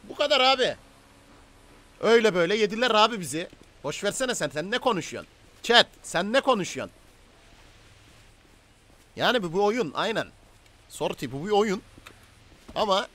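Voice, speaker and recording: A man's voice: a little high, a bit bright. A man with a Turkish accent streaming online roleplay, bossy, slangy and combative as he commentates and talks to players. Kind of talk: live gaming commentary and in-game roleplay chat.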